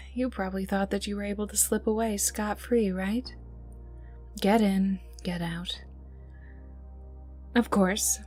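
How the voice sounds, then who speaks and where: A woman playing a menacing, teasing young enforcer for a crime boss in an ASMR roleplay, taunting the listener in a breathy voice that dips toward whispers. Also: medium pitch, darkish tone. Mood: smug scolding. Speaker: voice actor in audio roleplay. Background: ambient music and mild sound effects.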